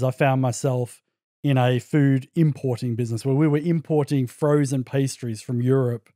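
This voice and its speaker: A man's voice: mid-range voice, dark tone, no echo, clean studio sound. An Australian man, a podcast guest, telling his story in a reflective, searching way in casual conversation.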